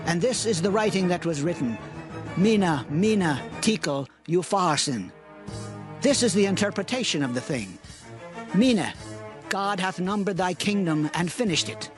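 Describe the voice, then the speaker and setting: A man's voice: high-pitched, lively intonation, moderate pace. A man playing a solemn prophet who declaims judgment on a king in archaic English, in a dramatized scripture reading. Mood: solemn, accusing. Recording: music clearly under the voice.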